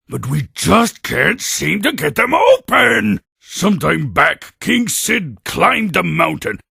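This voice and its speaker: An actor playing a boastful, brawny warrior creature in a video game, blustering and menacing in a gravelly, raspy voice. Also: male voice, fairly high pitch, pushed and bright, no echo, studio quality, full character voice. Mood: boastful, aggressive. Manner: swaggering, bragging, menacing. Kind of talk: video game cutscene acting.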